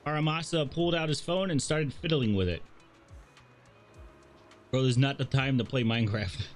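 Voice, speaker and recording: A man's voice: medium pitch, normal speed. A man livestreaming a game, reading along and commenting casually in a playful, offhand way. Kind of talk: casual game commentary and read-aloud dialogue. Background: game music.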